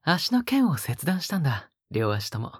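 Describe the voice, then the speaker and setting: A woman playing a sweetly possessive, sadistic young male captor in an audio drama, murmuring intimately to the listener in a husky, gently menacing voice that slips into whispers. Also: low voice, sing-song, moderate pace, dry recording, clean studio sound, full character voice. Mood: calm, menacing. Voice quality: breathy, husky.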